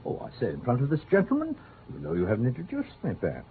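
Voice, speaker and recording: A man's voice: mid-range voice, huge pitch swings, quick pace. A sly, urbane colonel of a crook, patronising and full of polished courtesy. His voice swoops widely in old-time radio style, on a tinny recording with some hiss. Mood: amused, taunting. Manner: urbane, drawling endearments, mockingly polite. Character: suave, sly, patronising gentleman swindler, a colonel. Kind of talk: 1940s-50s radio drama acting.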